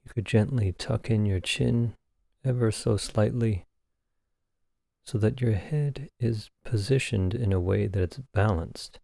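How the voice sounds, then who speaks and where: Male meditation teacher, deep mellow voice, calm gentle instruction, long pauses, close dry sound. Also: gravelly, no echo. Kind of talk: guided meditation narration.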